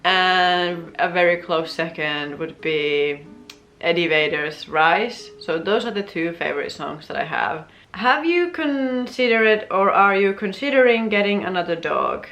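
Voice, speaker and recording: A woman's voice: lowish voice, lively intonation, measured pace, fairly bright tone, muffled recording, soft background music. A woman in her twenties with a Finnish accent, whose first language is Finnish, chatting casually through a Q&A at home. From Finland. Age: 20s. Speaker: vlogger. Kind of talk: casual Q&A chat. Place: home room with plants, indoors.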